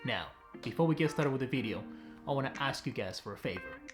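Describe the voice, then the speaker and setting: A man's voice: medium pitch, expressive, quick pace, over music. A young man from Tanzania, a relationship coach, telling viewers a personal story in a direct, chatty vlog style.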